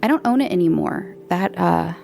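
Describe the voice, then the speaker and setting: Chatty woman host with a gravelly voice. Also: lowish voice, expressive, normal speed, darkish tone, soft background music. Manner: chatty, meandering, many fillers and restarts. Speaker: podcast host answering listener questions. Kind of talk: casual rambling chat.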